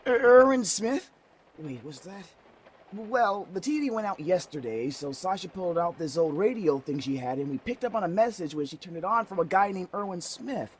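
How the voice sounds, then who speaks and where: A woman playing a youthful, nervous, friendly kid survivor in an audio drama, chatty, excitable and prone to stammering. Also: lowish voice, normal speed, husky, rough recording. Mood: flustered. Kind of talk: fan audio drama acting.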